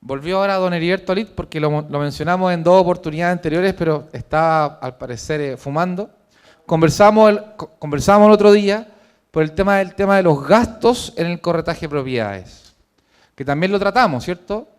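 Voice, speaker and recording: A man presenting his own book in a formal, measured lecture to an audience in a hotel conference room. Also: high voice, clear, resonant tone.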